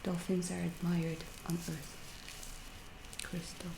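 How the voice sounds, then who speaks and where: A woman playing a calm, curious alien scientist in an ASMR roleplay, speaking softly and often in a whisper, with a detached, clinical, reassuring manner. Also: on the low side, slow pace. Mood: curious, musing. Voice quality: soft, breathy, often whispered. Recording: roomy echo, clear background noise.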